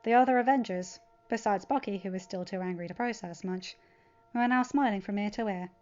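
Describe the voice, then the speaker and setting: A woman narrating a fan-fiction story as an expressive audiobook-style reading. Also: mid-range voice, brisk, lo-fi sound. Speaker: podfic narrator. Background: background music.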